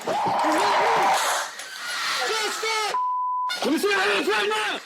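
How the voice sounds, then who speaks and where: Guy acting as a panicked male cop yelling orders, super high strained voice, harsh and piercing, horror found footage. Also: slow, vocal fry, some chatter behind, full character voice, rough recording. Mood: frantic, commanding. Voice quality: strained shouting. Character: frantic male police officer shouting commands.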